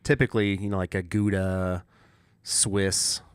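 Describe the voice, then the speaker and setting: A man's voice: deep voice, fairly even intonation, a little slow, smooth, no room sound, clean studio sound. A man co-hosting a podcast, chatting casually in short remarks and questions. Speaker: podcast co-host. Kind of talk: casual chat, short interjections.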